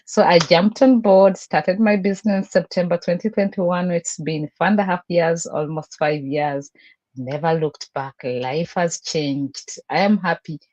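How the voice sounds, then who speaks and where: This is a middle-aged woman with a Ugandan accent and a slightly low voice. She gives a personal testimonial on an online group call. Her storytelling comes in short, emphatic phrases. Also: unhurried, a little mellow, lo-fi sound. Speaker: guest, business coach and language teacher.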